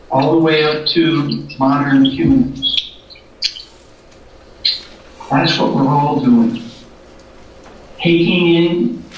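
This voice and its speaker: A man giving a lecture with slides, halting and repeating words as he thinks, recorded from a distant camera in a lecture room. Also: a little high, heavy reverb, lo-fi sound, a little hiss or hum.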